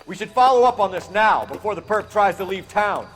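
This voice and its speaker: An actor playing an earnest, ambitious young police officer in a detective game, speaking in an articulate, formal, matter-of-fact way. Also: male voice, high voice, animated, a little fast, a bit bright, faint music behind. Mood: confident. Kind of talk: cinematic detective game dialogue.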